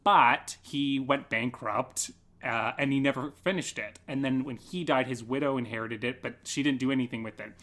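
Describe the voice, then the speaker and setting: A man's voice: medium pitch, moderate pace, fairly bright tone. A man chatting casually as an interview guest, explaining things informally and saying "like" often. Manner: informal storytelling with frequent "like". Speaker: guest theatre artist.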